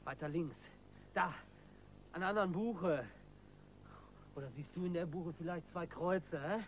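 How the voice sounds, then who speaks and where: An actor playing a gruff, vengeful bank robber on the run, cursing and slangy, in a radio crime drama. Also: male voice, fairly high pitch, measured pace, a little hiss or hum. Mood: grumbling.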